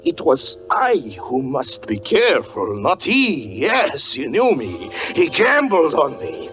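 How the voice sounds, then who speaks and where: An anguished, brooding magician confessing his obsession in 1940s radio suspense style. His delivery is theatrical and intense, with halting pauses and sweeping pitch. The broadcast sounds muffled and old, with music behind him. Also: huge pitch swings, fairly bright tone, exaggerated voice. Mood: bitter resolve.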